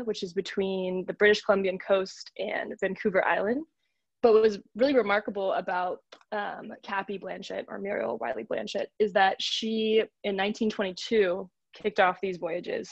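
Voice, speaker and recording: A woman's voice: mid-range voice, creaky, dry recording. Young American woman, dull dry audio. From Seattle, United States. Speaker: interview guest. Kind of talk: casual explanatory chat.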